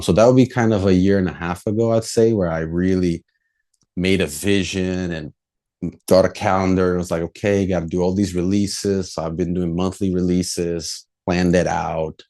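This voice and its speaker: A young man from Brazil, a musician guest on an interview podcast, talking in a relaxed, reflective way and laughing easily. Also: deep voice, moderate pace. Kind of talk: casual interview chat.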